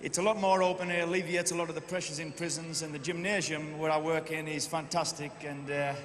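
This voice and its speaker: A man from Durham answers a host's questions with eager enthusiasm in a fairly high voice. Long arena reverb and steady background noise hang around him. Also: brisk. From Durham, England. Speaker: game show contestant. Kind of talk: casual interview answers. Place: large indoor arena.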